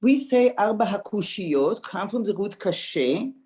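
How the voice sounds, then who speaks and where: A woman's voice: medium pitch, expressive, measured pace, darkish tone. A woman from Israel with an Israeli accent, asking a hesitant question on a video call. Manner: hesitant, searching for words. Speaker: audience member asking a question.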